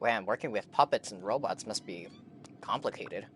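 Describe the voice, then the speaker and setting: A man's voice: fairly low pitch, expressive, normal speed, fairly bright tone, slight background noise. A man streaming a game, giving casual live reaction commentary and thinking aloud in a rambling way.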